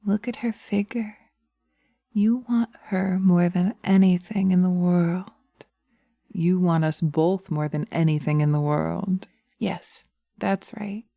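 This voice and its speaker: A woman playing seductive, taunting witches in a slow hypnosis roleplay, speaking in a hushed, dominant manner close to the microphone. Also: fairly low pitch, fairly even intonation, creaky, no echo. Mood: whispered, coaxing. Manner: sultry, slow, hushed, often dropping to a whisper. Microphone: close binaural microphone.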